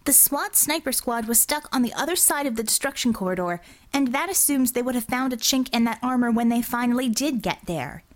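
A woman's voice: a little high, lively intonation, fairly quick, hardly any pauses, pitched above the performer's own voice, close to an everyday voice. A woman voicing a defiant, sarcastic young female healer in an audiobook, arguing in a flippant, clipped way. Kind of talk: audiobook character dialogue.

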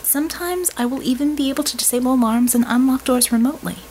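Woman voicing an earnest, quick-witted young woman, noisy recording. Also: high voice, a little mellow, voice pushed up, natural voice. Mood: earnest, determined. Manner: explanatory, assertive, dry wit. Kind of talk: audiobook character dialogue.

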